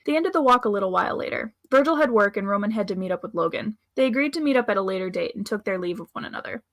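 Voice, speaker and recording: A woman reads scripted fiction as narrator at a quick clip. Her mid-pitched voice has some creak in it, and the recording is close and dry. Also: expressive, fairly bright tone, dry recording.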